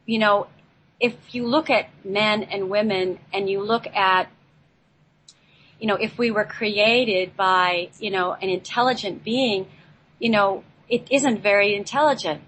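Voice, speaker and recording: A woman giving an unscripted spiritual talk as a guest, pausing often and repeating herself as she searches for words. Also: medium pitch, steady tone, a bit bright, low-quality recording. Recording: clear background noise.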